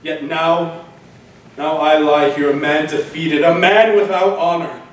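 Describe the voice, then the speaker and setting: An actor playing a proud male warrior hero driven mad with grief, declaiming with anguished shouts and low despair. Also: fairly even intonation, a little slow, natural voice. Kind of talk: stage tragedy acting. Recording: echoey room, far from mic, faint noise.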